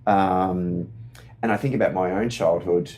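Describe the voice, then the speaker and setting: A man's voice: sits low, fairly even intonation, measured pace, a little mellow. A man from Australia, a community organiser, asking questions in a searching way with many fillers and restarts. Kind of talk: casual discussion.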